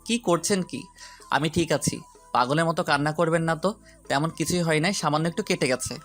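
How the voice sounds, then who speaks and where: A man's voice: a little high, a little fast, fairly bright tone, faint music behind, close to an everyday voice. A man playing a shy, injured young man who politely protests and pleads in an audio love story. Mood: reassuring, protesting.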